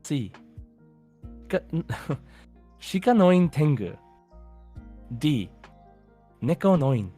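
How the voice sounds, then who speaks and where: A man hosting a quiz podcast in a casual, conversational way, reading out deliberately as quizmaster. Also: fairly high pitch, sing-song, dark tone, soft background music.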